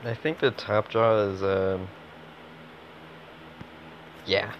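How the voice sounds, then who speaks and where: A man giving casual, low-key live commentary as a gaming streamer, talking in fragments and mumbled asides. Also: fairly even intonation, drawn-out words. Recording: dull lo-fi audio, noisy background.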